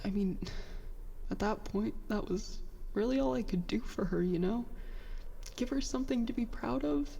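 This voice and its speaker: A high, creaky-voiced young woman speaks as a stubborn, wounded petty officer in a sci-fi audio drama. She is halting and self-deprecating, with a bitter edge. Her confession rings with long reverb. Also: mellow. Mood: sad, subdued. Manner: halting, conversational, self-deprecating, mildly profane. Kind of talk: science fiction audio drama monologue, confessional log.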